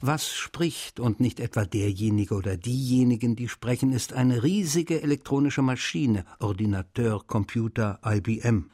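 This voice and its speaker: A man's voice: medium pitch, moderate pace, few pauses, warm tone, slightly rough, no echo, clean studio sound. A man reading a formal scripted announcement in short, emphatic, clipped phrases.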